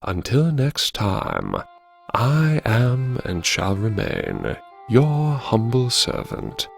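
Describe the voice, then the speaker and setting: Man acting a gravelly, theatrical, sardonic narrator. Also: medium pitch, wildly swooping pitch, faint music behind, exaggerated voice. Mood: arch, confiding. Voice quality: gravelly, husky. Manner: arch, sardonic, savouring words with dramatic pauses. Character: wry, theatrical, self-mocking university vice-chancellor narrating his haunted memoirs. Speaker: narrator of a serialised audio story. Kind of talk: theatrical first-person audiobook narration.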